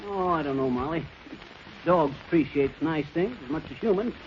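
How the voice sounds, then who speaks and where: A man's voice: a little high, moderate pace, natural voice. An actor playing a cocky, bumbling married man with folksy, ungrammatical talk in a 1930s radio comedy. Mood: breezy, cocky. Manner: ungrammatical folksy talk, stammering bluster, chuckling. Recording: noisy muffled 1930s radio recording.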